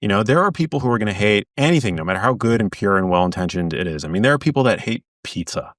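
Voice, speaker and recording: A man's voice: on the low side, expressive, fast, a bit bright, no room sound, studio quality, recorded from a distance. A man guesting on an interview podcast as an author, talking earnestly in bursts with frequent restarts. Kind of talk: casual interview chat.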